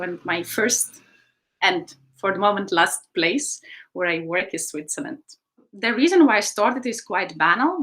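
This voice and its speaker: Woman coach, 30s, lower voice, thoughtful interview telling with many fillers. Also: fairly bright tone. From Ukraine. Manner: reflective, frequent ums and you-knows. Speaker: artistic swimming coach. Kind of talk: interview storytelling.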